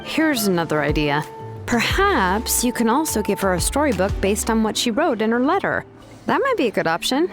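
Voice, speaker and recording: A woman's voice: on the high side, big pitch swings, normal speed, over music. An actress voicing a laid-back, practical young woman in an anime video game, explaining things casually with small hesitations. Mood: easygoing, curious.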